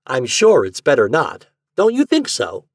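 A man voicing an eager, twitchy, unhinged man in an audiobook story, speaking with urgent, conspiratorial excitement. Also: mid-range voice, measured pace, husky, no room sound, studio quality, pitched above the performer's own voice. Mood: nervous, confiding.

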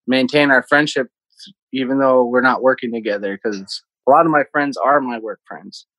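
A man's voice: medium pitch, fairly bright tone, dry recording, poor audio. Man, thirties, from Minnesota, mid-range voice, casual interviewee, very dry recording. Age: 30s. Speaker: interview guest, casino team supervisor. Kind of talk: casual conversational interview answers.

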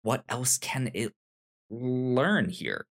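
A man's voice: medium pitch, expressive, drawn-out words, a bit bright. A man co-hosting a casual book discussion podcast, thinking aloud and often repeating words as he goes. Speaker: podcast co-host reviewing manga.

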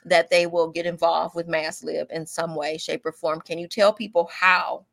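Low, gravelly woman radio host. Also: level tone, normal speed, fairly bright tone. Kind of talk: radio talk show hosting.

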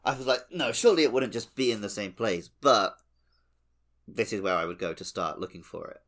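A man's voice: fairly low pitch, fairly quick, a bit bright. A man co-hosting a podcast, chatting casually about a video game playthrough. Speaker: podcast co-host.

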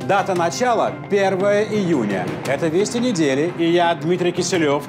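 A man's voice: high voice, expressive, moderate pace. A man playing a grave TV news anchor, delivering a portentous, emphatic headline intro. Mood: grave, dramatic. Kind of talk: dramatic television news intro. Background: music.

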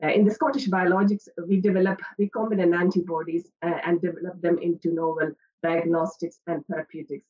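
A woman who heads a research facility introduces herself on a panel in a steady way, with many "uh" fillers. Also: lowish voice, brisk, few pauses, dull audio. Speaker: head of a drug discovery facility.

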